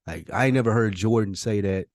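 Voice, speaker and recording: Male host, slightly deep, casual slangy delivery, dry podcast sound. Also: a little fast, darkish tone, no room sound. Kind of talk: casual hosted conversation.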